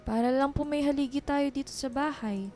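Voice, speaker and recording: An actress playing a caring, apologetic young daughter in a Filipino radio drama, speaking respectfully and pleadingly. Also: female voice, high voice, fairly quick, mellow, soft background music, lightly acted. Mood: apologetic, earnest.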